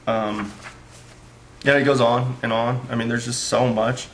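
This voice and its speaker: A man's voice: mid-range voice, level tone, unhurried, a bit bright, slight background noise, low-quality recording. A man giving a casual spoken book review, rambling along with lots of fillers.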